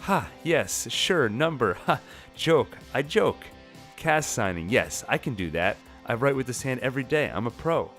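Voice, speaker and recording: A man's voice: mid-range voice, big pitch swings, measured pace, fairly bright tone, voice pushed up. A man voicing a sarcastic, defiant sixteen-year-old girl in a dramatized comic recap, flippant and quippy. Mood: flustered. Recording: music bed behind the voice.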